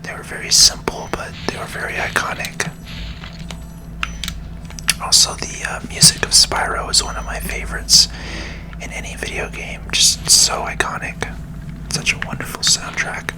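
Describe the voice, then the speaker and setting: A person whispering calm ASMR commentary over a video game, close to the microphone. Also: poor audio. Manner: slow, calming.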